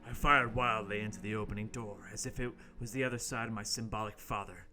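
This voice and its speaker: A man's voice: lowish voice, steady tone, quick pace, faint music behind. A man playing a jumpy young intern, narrating his horror tale in a melodramatic, ominous way for an amateur radio play. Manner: melodramatic, ominous storytelling broken by shouted sound effects.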